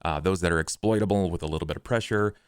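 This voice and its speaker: A man with a gravelly voice doing improvised tabletop roleplay and narration in a measured, halting delivery. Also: sits low, dry recording. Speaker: player in a tabletop roleplay podcast.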